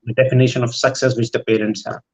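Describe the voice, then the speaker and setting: A man speaking as a panelist in a thoughtful discussion, in a hesitant, halting way. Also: mid-range voice, little pitch movement, darkish tone, rough recording.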